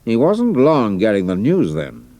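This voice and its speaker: A senior British army major in an audio drama. His British voice sits on the low side and swings expressively in pitch, yet his manner stays measured and dry. The sound is tight, with no room echo. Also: huge pitch swings, dark tone, exaggerated voice. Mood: measured, matter-of-fact.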